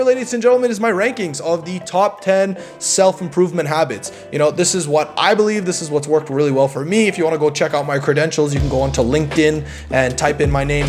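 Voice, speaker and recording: Young guy with a fairly high voice ranking self-help habits, pushy and chatty, keeps asking right?. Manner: emphatic, repeats words, asks "right?" often. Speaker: self-improvement vlogger. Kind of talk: casual advice monologue.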